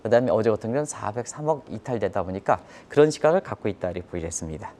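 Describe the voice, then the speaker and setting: A man delivers economic commentary for a live broadcast. His voice is mellow and relaxed in timbre, yet his intonation is very lively. He explains things emphatically. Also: lowish voice, very fast. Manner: emphatic, explanatory, poses rhetorical questions.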